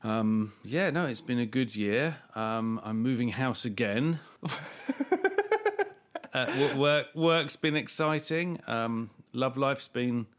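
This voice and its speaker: A man's voice: mid-range voice, slow, fairly bright tone. A man co-hosting a podcast in casual chat, mock-enthusiastic and sarcastic, coming down with a cold and coughing at times. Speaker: podcast co-host.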